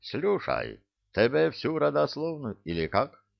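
A wheedling, rambling huckster spirit from a comic fantasy story, with a thick Georgian accent. His broken grammar rides on a very lively, swinging pitch. The recording is a bit dull and lo-fi. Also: measured pace, gravelly, heavily acted. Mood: plaintive. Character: hook-nosed Caucasian-style huckster spirit in Native costume, whining. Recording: dull lo-fi audio.

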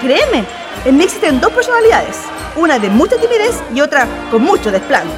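Woman acting high-voiced lovestruck young Chilean woman. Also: very animated, fairly quick. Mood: coy, pleading. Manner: sighing, gushing, theatrical. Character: lovestruck, dreamy, bold young woman in old-time Chile. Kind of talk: radio play acting. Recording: music and crowd noise behind. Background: band music and crowd.

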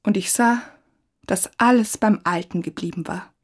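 A woman's voice: mid-range voice, normal speed, husky, soft background music. A woman reading a reflective, scripted first-person narration.

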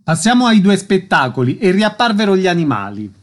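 A man hosts a radio programme as its interviewer. His voice is a little higher than most men's, and he speaks as a presenter introducing and prompting. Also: sing-song, brisk.

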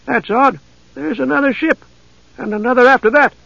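Uneasy harbor man in an audiobook, tense and urgent, raised pitch, read by a man. Dry, dull recording. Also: normal speed, vocal fry, sharp tone, no echo, full character voice. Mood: alarmed. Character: uneasy harbor man, middle-aged, alarmed.

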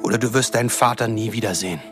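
An actor playing a cruel, condescending shapeshifter villain in a radio drama, taunting and threatening in a smooth-talking way. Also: male voice, on the low side, fast, over music, lightly acted. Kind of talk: German radio drama acting.

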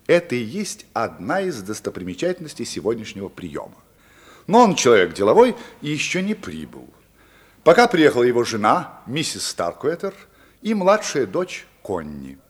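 A man narrating a radio play as a measured, ironic storyteller with dramatic pauses. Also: medium pitch, huge pitch swings, husky. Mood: wry, stately. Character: male narrator, measured, wry storyteller of high society. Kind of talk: theatrical scripted narration.

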